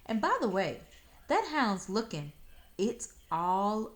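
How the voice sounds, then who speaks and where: A woman plays a young country girl from a children's storybook, sassy and hard to impress. She talks in homespun dialect with unhurried, teasing confidence and lively rises and dips. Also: mid-range voice, pitched above the performer's own voice. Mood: smug. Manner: matter-of-fact teasing in rural dialect.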